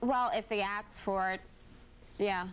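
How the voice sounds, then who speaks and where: A woman in her twenties answering questions on a talk show stage, soft-spoken and unhurried. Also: medium pitch, steady tone, crowd noise. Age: 20s. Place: TV studio stage.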